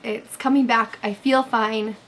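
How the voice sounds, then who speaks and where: A woman in her thirties, slightly hoarse, chatting casually with viewers on a livestream from her bed, with lots of fillers. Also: a little high, steady tone, unhurried, a bit bright, a little hiss or hum. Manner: chatty, reads chat aloud, many fillers. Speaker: livestream host. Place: bedroom, sitting in bed. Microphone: webcam framing.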